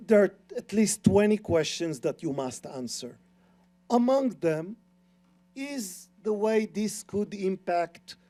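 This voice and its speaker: A middle-aged man with an Israeli accent speaks on a conference panel. His voice sits fairly high but sounds mellow and warm. He explains his points earnestly and emphatically, often restarting his sentences. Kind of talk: panel discussion.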